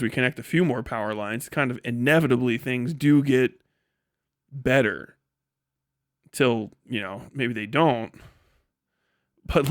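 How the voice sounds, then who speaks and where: A man giving casual, rambling live commentary as a stream host, slangy and animated, acting out imagined exchanges. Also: medium pitch, dry recording, studio quality. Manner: often chuckles mid-sentence. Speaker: livestream host and political commentator.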